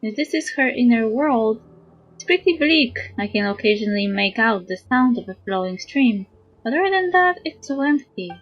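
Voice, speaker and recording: High, husky woman streamer. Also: lively intonation, normal speed. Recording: slightly dull audio with music behind. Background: background game music.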